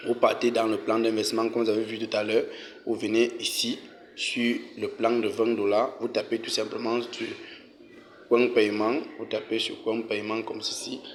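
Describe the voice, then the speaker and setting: A man hosting a casual tutorial, walking viewers through each step, often saying "ok" and "euh" and clearing his throat. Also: on the low side, monotone, normal speed, slight background noise. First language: French. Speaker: channel host giving a tutorial. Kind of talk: casual screen-recorded walkthrough.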